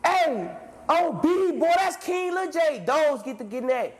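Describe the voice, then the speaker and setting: A man putting on a loud, clowning impression of a friend while retelling a rowdy jail story, shouting and swinging his pitch around. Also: expressive, measured pace, exaggerated voice. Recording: played-back clip with loud background noise.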